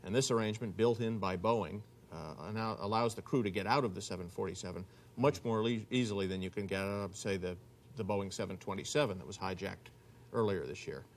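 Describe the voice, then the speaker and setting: Middle-aged man, low-pitched, conversational explainer, faint background noise. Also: a little fast. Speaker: news anchor. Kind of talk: live news discussion.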